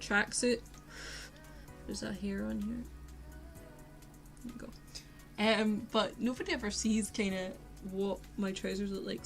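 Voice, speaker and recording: Young woman, posh Glasgow accent, rambling self-mocking streamer chat, mid pitch. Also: gravelly, fairly bright tone. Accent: posh Glaswegian Scottish. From Scotland. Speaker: art streamer. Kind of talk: casual live-stream chat while painting. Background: faint lo-fi music.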